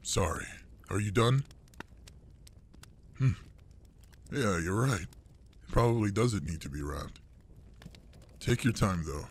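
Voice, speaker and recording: A man playing a gruff older male monster adventurer in an intimate audio roleplay, fond and teasing with a human partner. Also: on the low side, expressive, slow pace, long pauses, a little hiss or hum. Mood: tender, sore.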